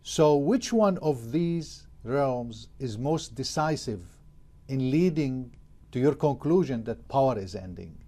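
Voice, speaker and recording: A man hosts a formal television interview in a dark, mellow voice. He asks long, winding questions, pausing on fillers as he goes. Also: mid-range voice, expressive. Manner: hesitant questioning with many fillers. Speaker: TV interview host.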